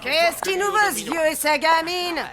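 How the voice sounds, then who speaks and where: A woman's voice: very high-pitched, animated, measured pace, music in the background. A woman voicing a bossy, menacing café-owner shrew in a French cartoon, with a scolding, sarcastic, slangy way of talking. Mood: mocking. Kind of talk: exaggerated French cartoon acting.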